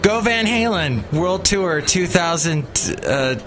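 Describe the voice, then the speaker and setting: A man's voice: high-pitched, slow pace, over music. A man hosting a podcast, chatting casually and joking playfully with his co-host. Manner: playful, jokey, lively. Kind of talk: casual chat.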